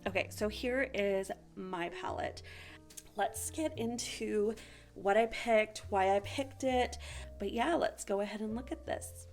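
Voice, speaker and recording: Sniffly woman vlogger with a creaky voice. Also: mid-range voice, unhurried, a little mellow, faint music behind. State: slightly sick, sniffly. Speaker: beauty vlogger. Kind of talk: casual chatty eyeshadow swatch review.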